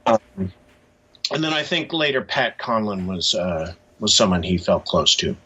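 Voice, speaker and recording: Low, forceful man, slightly muffled sound. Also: very animated, measured pace, husky. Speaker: interview guest, author. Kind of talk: conversational interview answers. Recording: dull, muffled lo-fi line.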